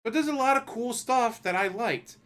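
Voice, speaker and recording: A man giving a loose, profane spoken review of an anime, ranting casually and acting out characters in quick asides. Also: extremely high pitch, fairly bright tone.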